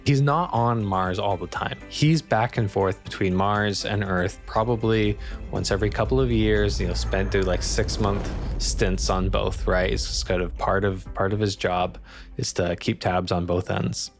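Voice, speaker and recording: A man's voice: fairly low pitch, fairly even intonation, a little slow, soft background music. A man running a tabletop game as game master, narrating casually and improvising as he goes.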